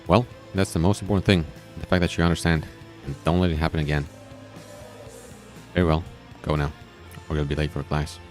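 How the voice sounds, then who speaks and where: A man's voice: extremely low pitch, level tone, brisk. A man voicing a stern old school principal in a visual novel, with a formal, lecturing manner. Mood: appeased. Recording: background music.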